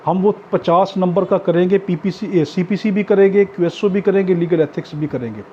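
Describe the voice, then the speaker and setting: A man's voice: sits high, a little fast, warm tone. A man with a Pakistani accent teaching as a tutor, explaining instructively to students and repeating key points for emphasis. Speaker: law exam tutor. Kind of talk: informal lecture to students. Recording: dull lo-fi audio with background noise.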